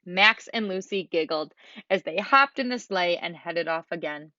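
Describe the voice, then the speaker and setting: A woman reading a children's picture book aloud in a gentle, even storytelling manner. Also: lowish voice, moderate pace, no room sound. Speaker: storybook reader. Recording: dull lo-fi dry recording.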